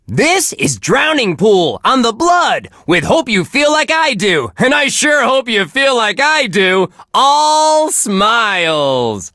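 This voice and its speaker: Bright, chirpy, wholesome radio DJ. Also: male voice, very high voice, sing-song, slow, hardly any pauses, no echo, clean studio sound, heavily acted. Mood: sunny, cheerful. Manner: chirpy, earnest, gee-whiz exclamations. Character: relentlessly cheerful, wholesome male radio DJ on a heavy-metal station. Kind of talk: upbeat radio DJ patter, scripted.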